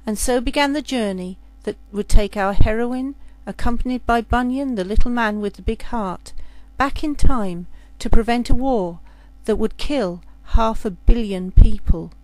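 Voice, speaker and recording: A woman reads a scripted sci-fi adventure as its storyteller. Her voice sits a little higher than most women's, and she delivers it as book narration. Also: unhurried, few pauses, darkish tone, dry recording, poor audio.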